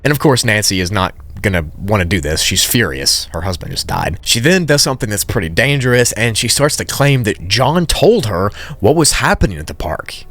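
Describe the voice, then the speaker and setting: A man's voice: fairly low pitch, very animated, normal speed, a bit bright. A man narrating a story recap in a casual, conversational way with informal asides.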